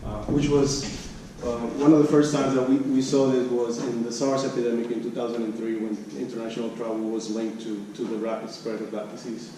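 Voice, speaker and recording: A man presenting research at an academic conference, speaking haltingly and often repeating and restarting his phrases. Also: mid-range voice, flat delivery. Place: conference room. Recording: echoey room, distant mic, background noise.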